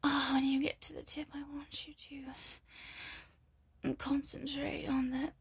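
A British woman whispering intimately in a slow, breathy murmur, in an erotic audio style. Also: high voice, monotone. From United Kingdom.

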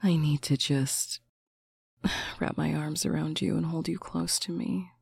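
A speaker in a slow, intimate roleplay, talking softly to a faraway partner on a bedtime call, often dropping into a whisper. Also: mid-range voice, fairly even intonation, creaky, breathy edge, no echo, clean studio sound. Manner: soft, affectionate, often whispered. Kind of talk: intimate roleplay monologue to the listener.

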